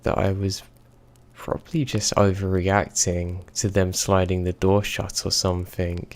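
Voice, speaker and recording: A man with a very deep, gravelly voice reads a scary story aloud. He keeps his delivery flat and unhurried, letting each line settle. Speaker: horror story narrator. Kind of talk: scripted horror narration.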